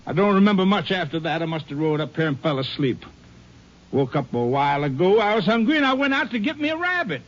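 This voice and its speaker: An actor playing a hard-of-hearing ranch hand with a drawl, in a 1950s radio drama, defensive and folksy. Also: male voice, fairly high pitch, sing-song, quick pace. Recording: dull lo-fi old radio broadcast.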